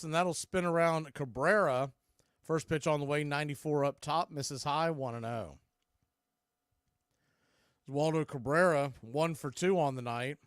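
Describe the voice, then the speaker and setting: A man's voice: on the high side, smooth, no room sound, studio quality. A man working as a baseball radio announcer, calling the game live in short, clipped phrases. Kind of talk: live baseball play-by-play commentary.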